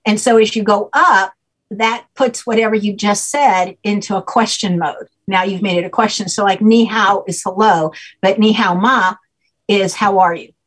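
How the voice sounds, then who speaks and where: A woman's voice: medium pitch, steady tone, normal speed, slightly rough. A woman guest who explains at length and keeps checking that the listener follows along. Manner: patient, checks understanding with repeated "okay?". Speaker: guest teaching a name system. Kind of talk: explanatory conversation.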